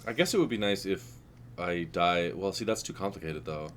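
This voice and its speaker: A man co-hosting a podcast in casual chat, sounding nasal as he gets over a cold, telling dry deadpan jokes and laughing often. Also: deep voice, brisk. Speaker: podcast co-host.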